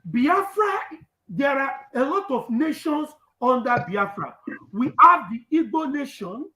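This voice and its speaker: Emphatic Nigerian man, bright echoey voice. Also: very high voice, expressive, measured pace, a little hiss or hum. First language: Urhobo. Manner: emphatic, repeats phrases, rising to shouts. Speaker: activist speaker. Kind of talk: impassioned live speech.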